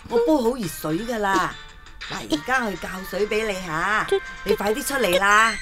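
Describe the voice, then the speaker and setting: A woman's voice: medium pitch, normal speed, lightly acted. Woman playing a coaxing, doting old grandmother. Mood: fussing, caring. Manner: coaxing, repeats herself soothingly. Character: doting elderly grandmother, patient and fussing. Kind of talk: radio drama acting. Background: music behind the voice.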